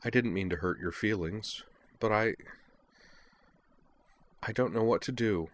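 A man voicing an anxious, sorrowful young woman who confides in a soft, hesitant murmur, as part of a story reading. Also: sits low, expressive, moderate pace. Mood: apologetic.

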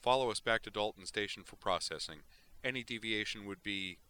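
A man's voice: fairly low pitch, brisk, sharp tone, voice pushed up. A man voicing a stern male patrol commander giving orders over a ship's comm.

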